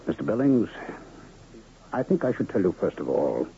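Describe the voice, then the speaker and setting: A man playing a courtly, cryptic medicine man and illusionist in a radio drama, with florid, measured, theatrical speech. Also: low voice, sing-song, creaky, mellow, slight background noise, full character voice. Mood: solemn. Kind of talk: 1970s radio drama acting. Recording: dull, lo-fi old radio recording.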